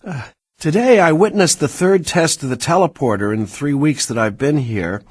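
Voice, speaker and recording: An actor playing an uneasy medical technician confiding in an informal audio log for a video game. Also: male voice, on the high side, moderate pace, breathy edge, no room sound. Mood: uneasy, unnerved. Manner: colloquial, uneasy, hesitant asides.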